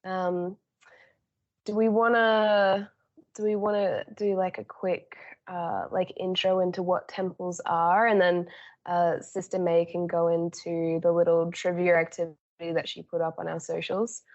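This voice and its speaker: A woman's voice: lowish voice, little pitch movement, a little slow, a little mellow, highs cut off. Stuffed-up woman hosting a podcast. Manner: friendly, hesitant with many ums. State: congested from a cold. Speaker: podcast host. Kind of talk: casual podcast chat.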